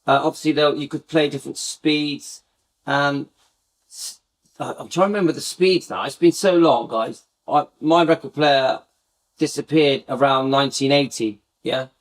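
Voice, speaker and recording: A man's voice: mid-range voice, normal speed. A middle-aged man hosting a casual live fan chat, talking to viewers in a rambling, reminiscing way with many restarts. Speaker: fan channel host.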